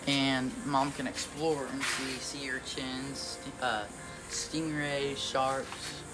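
A male speaker chatting casually outdoors and giving hurried, repeated instructions, picked up by someone else's handheld camera. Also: mid-range voice, level tone. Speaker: fishing companion. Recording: loud music over distant outdoor voice.

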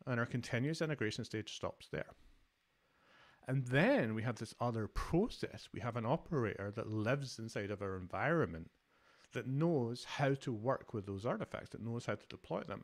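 Gravelly Scottish man giving a tech talk. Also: mid-range voice, lively intonation, moderate pace. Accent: Scottish, Glasgow. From Scotland. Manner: enthusiastic, conversational, many fillers. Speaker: conference speaker, developer advocate.

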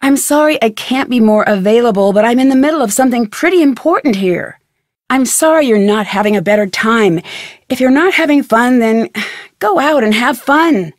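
An actress playing a curious, polite young female detective in an adventure game, asking questions in a clear, inquisitive way. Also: a little high, lively intonation, moderate pace, dry recording, clean studio sound, lightly acted. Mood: apologetic, earnest. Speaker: video game protagonist. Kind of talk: scripted adventure game dialogue. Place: studio.

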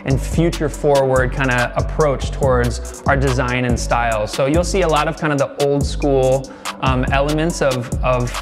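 A man's voice: medium pitch, steady tone, moderate pace. A man in his thirties giving a casual, enthusiastic presenter-style tour of a studio. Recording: music playing behind the voice. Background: background music.

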